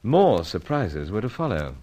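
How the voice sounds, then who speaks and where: A suave British secret agent in a radio adventure serial. His voice sits fairly low but swings widely in melody, with urbane, wry turns of old-fashioned gallantry. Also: very animated, measured pace, dark tone, highs cut off. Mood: wry storytelling. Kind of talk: radio serial acting with first-person narration.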